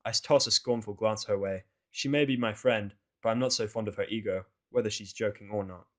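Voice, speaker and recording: A man with a fairly low voice reads first-person fan fiction as a narrator. His delivery is scripted but casual, with a grumbling, sardonic edge. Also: fairly even intonation, fairly quick.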